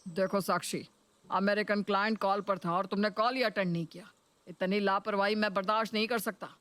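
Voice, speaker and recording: A woman's voice: medium pitch, fairly even intonation, a little fast, a bit bright, natural voice. A woman voicing a stern, sarcastic, scolding office boss in a Hindi cartoon story.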